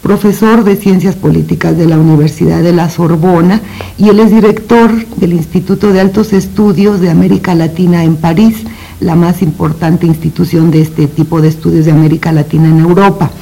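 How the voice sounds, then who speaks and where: Measured woman interviewer, mellow voice. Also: fairly low pitch, steady tone, gravelly, slightly rough, rough recording. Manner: measured, formal, reads a guest introduction. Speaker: interviewer, host. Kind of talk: formal introduction and questions.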